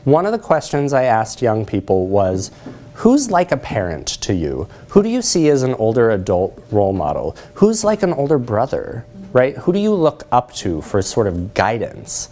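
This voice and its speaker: A man in his thirties giving a lecture and discussion, measured, often posing rhetorical questions to his listeners. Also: medium pitch, wildly swooping pitch, warm tone. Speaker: presenter, social work researcher. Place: lecture room, at the front by a screen.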